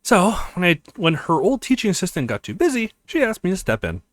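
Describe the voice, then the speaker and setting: A man's voice: high-pitched, huge pitch swings, brisk, a bit bright, dry recording, full character voice. A man voicing a bubbly, teasing young college woman, an affectionate girlfriend in a visual novel. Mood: upbeat.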